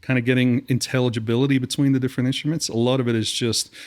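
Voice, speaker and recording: A man's voice: medium pitch, level tone, racing. A man co-hosting a podcast, explaining technical audio design in a conversational way and often checking in with "right?". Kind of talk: casual technical podcast talk.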